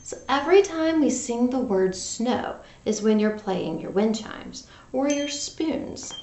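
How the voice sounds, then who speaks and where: A woman teacher talking directly to young children in an animated, conversational read-aloud lesson style. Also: on the high side, slow, a little hiss or hum. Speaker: music teacher and storyteller for children. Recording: roomy, echoing home recording.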